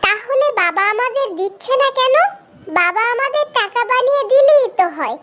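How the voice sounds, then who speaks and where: A very high, squeaky cartoon child, with a Bangladeshi accent. She is a cheeky, pushy little girl who chatters and whines insistently. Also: lively intonation, moderate pace, fairly bright tone. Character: pushy, cheeky young girl begging for Eid shopping money. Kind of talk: comic cartoon dialogue.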